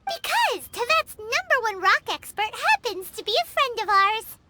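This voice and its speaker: A woman voicing a tiny, excitable companion girl in a game, with a squeaky childlike voice and a blunt, boastful manner. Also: heavy background noise. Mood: excited. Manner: blunt, quick to boast and scold. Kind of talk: game voice acting. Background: loud game music.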